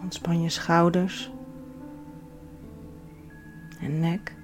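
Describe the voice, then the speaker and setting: A woman leading a guided relaxation as a yoga instructor, giving soothing, measured instructions with pauses. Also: lowish voice, little pitch movement. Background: background music.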